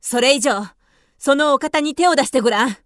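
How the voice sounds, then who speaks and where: A woman's voice: high-pitched, a little fast, a bit bright, dry recording, clean studio sound. A woman acting in a radio drama as a haughty young noblewoman in mourning, easily smitten, with formal, imperious speech.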